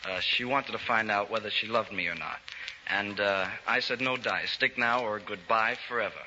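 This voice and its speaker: A man's voice: fairly low pitch, normal speed, sharp tone. A young man, an amateur guest giving rehearsed banter in a 1930s radio interview. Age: young adult. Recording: dull, noisy 1930s radio recording.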